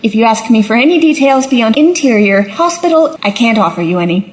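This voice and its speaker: Woman playing a wry, high-voiced plucky companion. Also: lively intonation, a little fast, lightly acted. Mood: puzzled, wry. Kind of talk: audio drama dialogue.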